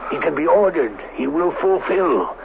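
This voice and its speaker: An actor playing an obsessed, proud male scientist in a 1970s radio drama, speaking in a deliberate, grandiose, theatrical manner. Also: a little high, big pitch swings, creaky, narrow, tinny audio. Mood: grandiose, fervent. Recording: noisy, thin 1970s radio broadcast.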